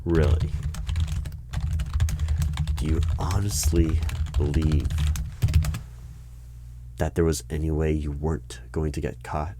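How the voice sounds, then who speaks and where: A man doing an ASMR interview roleplay, asking questions in a deadpan, clipped manner. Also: rumbling low, slow pace, long pauses, noisy background. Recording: keyboard typing heard throughout. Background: keyboard typing and clicks.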